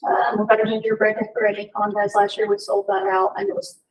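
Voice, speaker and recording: A woman's voice: mid-range voice, sing-song, fast talker. A woman giving a peppy, upbeat update on her distillery's events. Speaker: distillery representative. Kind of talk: informal update. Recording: distant room mic at a meeting.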